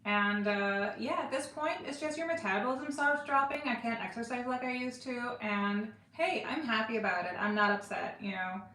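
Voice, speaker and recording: A woman's voice: a little high, normal speed, hardly any pauses, pushed and bright, echoey room, slight background noise, low-quality recording. A woman in her forties co-hosting a live stream, chatting casually and joking about herself. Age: 40s. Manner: chatty, self-deprecating. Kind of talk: casual chat.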